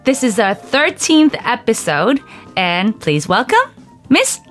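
A young woman hosts a radio interview in a studio. She talks playfully and giggles easily. Her pitch leaps up and down, and soft music plays under her. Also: big pitch swings, slow pace. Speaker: radio show host. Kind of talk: bubbly radio interview chat.

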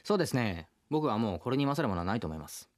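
A man's voice: fairly low pitch, sing-song, rapid-fire. A man who works as a voice actor, co-hosting a radio show with casual banter.

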